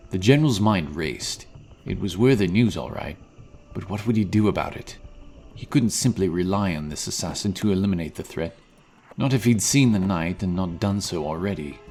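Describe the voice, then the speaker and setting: A man's voice: low-pitched, lively intonation, moderate pace, darkish tone, slight background noise. A man giving dramatic scripted narration of a fantasy story.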